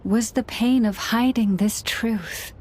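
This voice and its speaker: A woman voicing an earnest, solemn young priestess in a video game, speaking in a measured way over music. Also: on the high side, steady tone, darkish tone. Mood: pained, earnest. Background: background music.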